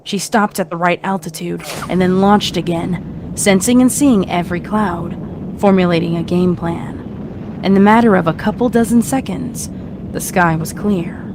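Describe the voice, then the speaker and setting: A woman's voice: on the low side, normal speed, noisy background. A woman reading scripted fiction as a narrator, in a dramatic storytelling style.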